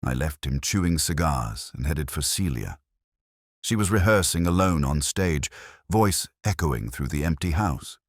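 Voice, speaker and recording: Male audiobook narrator for hardboiled mystery: extremely low register, lively intonation, wry. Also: measured pace, dry recording, clean studio sound. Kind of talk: scripted hardboiled detective narration.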